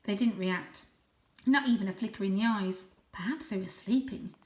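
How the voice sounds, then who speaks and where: A woman reading a children's story aloud in an expressive, suspenseful, animated way. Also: mid-range voice, moderate pace, echoey room. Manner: animated, suspenseful, with gasped asides. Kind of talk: dramatic, expressive audiobook-style story narration.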